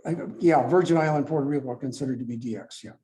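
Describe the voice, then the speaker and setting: A man giving an informal explanatory presentation as a club speaker, in a halting manner with repeats and self-corrections. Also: medium pitch, mellow. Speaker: club presenter explaining radio contest rules. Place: club meeting room with a few people present.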